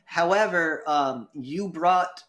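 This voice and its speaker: A man hosting a casual debate podcast, putting questions in a halting, deliberate way. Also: fairly high pitch, fairly bright tone. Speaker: host and debate moderator. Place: indoor room.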